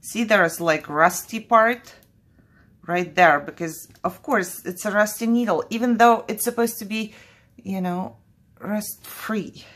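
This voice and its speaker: A woman's voice: medium pitch, slow pace, fairly bright tone. A woman from Kazakhstan whose first language is Russian hosts a casual crafting vlog in English. She talks in a chatty, jittery way and laughs often. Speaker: crafting channel host.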